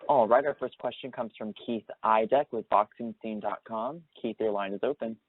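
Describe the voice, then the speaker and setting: A man's voice: on the low side, moderate pace. A man working as a conference call operator, giving formal scripted announcements over a phone line. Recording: echoey narrow phone line.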